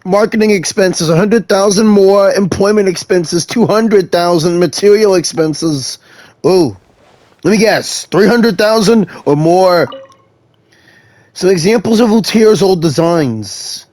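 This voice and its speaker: High-voiced man commenting on gameplay. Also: unhurried, a little mellow, dry recording. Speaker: gamer, let's player. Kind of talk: casual live gameplay commentary.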